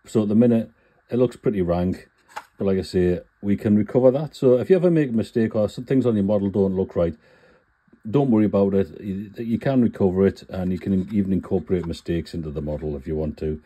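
A man's voice: deep voice, fairly quick, warm tone, husky. A man with a cold, chatting plainly through a scale model build and often correcting himself. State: full of cold. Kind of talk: casual build tutorial.

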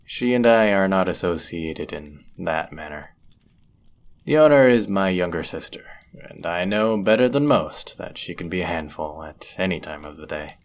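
A man acting a deep-voiced, courteous medieval innkeeper. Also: animated. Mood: wry, fond. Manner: gentle, polite, measured, formal wording. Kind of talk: intimate roleplay audio acting, formal old-fashioned speech.

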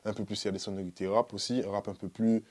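A man's voice: lowish voice, little pitch movement, fast. A young man from the Antilles, talking casually and in slang as a music artist being interviewed. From French Antilles (born in Guadeloupe, lived in Martinique and French Guiana). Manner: slangy, informal, peppered with "tu vois" and shout-outs. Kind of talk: casual interview talk to camera. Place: small dark recording studio, indoors.

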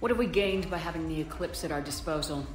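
A woman's voice: low voice, quick pace, natural voice. A woman playing a tough, composed military commander in a video game, with a dry, confident delivery. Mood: composed, firm. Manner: dry, self-assured. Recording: roomy, faint music behind.

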